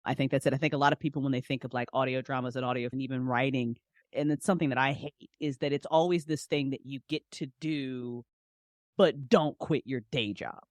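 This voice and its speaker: A woman's voice: a bass voice, steady tone, brisk, a little mellow, no room sound, studio quality. A woman speaking as a podcast guest in casual, passionate interview talk, emphatic and repeating phrases for stress. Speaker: podcast guest, audio drama writer and director.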